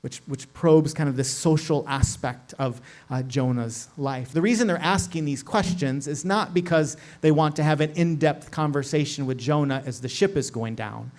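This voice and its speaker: A man's voice: on the high side, moderate pace, few pauses, a little mellow. A middle-aged man preaching a sermon in a casual, conversational way, often asking "right?" and adding "like". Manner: casual, lots of "like" and "right?" asides. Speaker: pastor.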